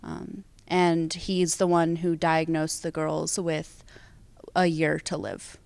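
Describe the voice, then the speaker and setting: A woman's voice: low-pitched, slow pace, creaky, a little mellow. A teenage female student actor with an American accent, explaining her role in an interview.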